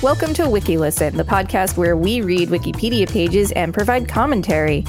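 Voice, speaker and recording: Woman, mid-pitched voice, podcast co-host, article reading with commentary, faint music behind. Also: lively intonation, a little fast.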